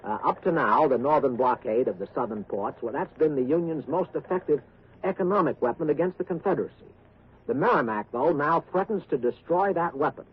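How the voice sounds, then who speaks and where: An actor playing an earnest war correspondent in a 1940s radio drama, grave and emphatic, with halting delivery. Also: male voice, on the high side, animated, breathy edge. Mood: urgent, emphatic. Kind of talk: 1940s radio acting as news commentary. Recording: tinny, noisy vintage radio recording.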